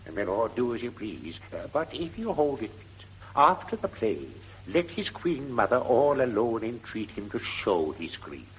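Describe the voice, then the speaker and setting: An actor playing a fussy, deferential, scheming old courtier in 1940s British radio Shakespeare, raspy, with Received Pronunciation. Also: male voice, mid-range voice, big pitch swings, a little slow, exaggerated voice. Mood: officious advice. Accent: English Received Pronunciation. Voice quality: very husky, raspy. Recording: dull 1940s radio recording.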